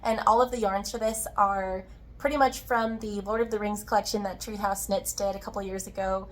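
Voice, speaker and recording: A woman with a slightly hoarse, tired voice hosting a casual, chatty crafting video in a friendly way. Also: medium pitch, steady tone, brisk. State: slightly hoarse, tired-sounding from recovering from illness. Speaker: podcast host, yarn dyer and shop owner.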